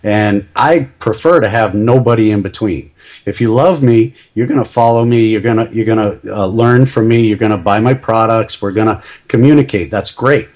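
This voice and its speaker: A man's voice: on the low side, normal speed, darkish tone. Chatty, self-deprecating middle-aged man. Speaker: podcast guest. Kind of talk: casual interview chat.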